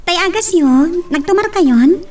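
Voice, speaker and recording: A woman's voice: extremely high pitch, expressive, measured pace, a little mellow, recorded from a distance. A woman playing a pert but caring young daughter in a radio-style drama.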